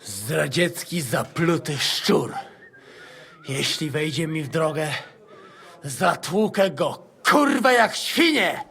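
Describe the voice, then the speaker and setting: An actor playing a brash, foul-mouthed, hot-tempered but jovial Polish soldier in a video game. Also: male voice, fairly high pitch, lively intonation, takes it slowly, gravelly, pushed and bright, breathy edge, full character voice. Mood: scornful. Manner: brash, exuberant. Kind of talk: video game cutscene acting.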